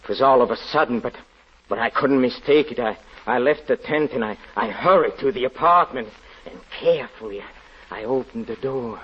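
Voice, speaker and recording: A man acting in an old radio drama as a trusting carnival magician who haltingly tells of his own undoing, repeating words as he goes. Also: mid-range voice, noisy background, close to an everyday voice. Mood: haunted, rueful. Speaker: narrator and lead actor. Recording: dull old radio recording, music behind.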